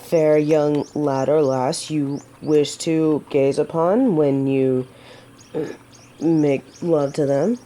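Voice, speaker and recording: A woman's voice: low-pitched, extremely slow, mellow. A woman playing a gallant, teasing yet earnest female knight in a romantic fantasy audio drama, with formal, old-fashioned phrasing. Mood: gentle, cautious.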